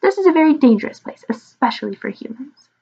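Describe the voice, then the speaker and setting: An excitable little storybook dragon, voiced by a woman, chattering away with friendly curiosity. The young male creature sounds high and slightly creaky, and the recording is a little dull. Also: quick pace, full character voice. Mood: curious, impressed.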